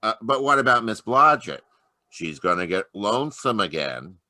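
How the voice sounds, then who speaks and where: A man playing a brisk, gruff police detective in a 1940s radio crime drama. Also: lowish voice, big pitch swings, bright, forceful tone, no room sound, heavily acted. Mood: brisk, ribbing.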